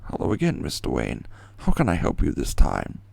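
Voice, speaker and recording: A man's voice: a bass voice, wildly swooping pitch, moderate pace, vocal fry, darkish tone, no echo, full character voice. A man playing a courteous, dryly witty tech aide in a video game fan dub. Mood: courteous, wry. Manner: polite, wry.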